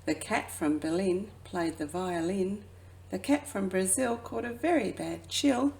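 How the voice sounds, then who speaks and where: A woman who works as a children's educator reads a picture book aloud expressively, drawing out phrases and dropping into hushed asides. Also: mid-range voice, unhurried, creaky, rough recording. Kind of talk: children's storybook read-aloud.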